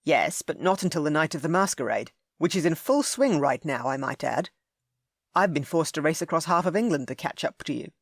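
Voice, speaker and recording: A woman's voice: deep voice, expressive, a little fast, dry recording, studio quality. A woman voicing a forthright young duke, blunt and earnest.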